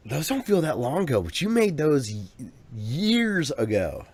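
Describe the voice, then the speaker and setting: A man's voice: on the high side, sing-song, measured pace, a little mellow, breathy edge. A man co-hosting a podcast in casual chat, rambling along with plenty of restarts and fillers. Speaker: podcast co-host. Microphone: USB microphone.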